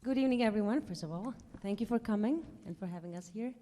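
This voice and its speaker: A woman's voice: medium pitch, fast, warm tone. A woman from eastern Slovakia whose first language is Carpatho-Russian, introducing songs from a stage in careful, plain speech. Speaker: guest singer. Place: large concert hall stage. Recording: long hall reverb.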